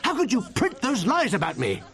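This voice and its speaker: An actor playing a flustered, indignant English barrister in a radio comedy drama, stammering and plaintive. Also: male voice, extremely high pitch, wildly swooping pitch, fairly quick, a bit bright, heavily acted. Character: flustered, indignant male barrister, anxious and hapless.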